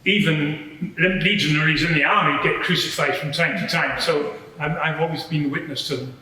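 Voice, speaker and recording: A man playing a matter-of-fact Roman centurion giving hesitant, measured testimony as a witness at an inquiry. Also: fairly high pitch, steady tone, sharp tone. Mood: matter-of-fact, grim. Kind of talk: semi-improvised courtroom testimony. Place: large reverberant courtroom. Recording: very echoey hall, distant mic.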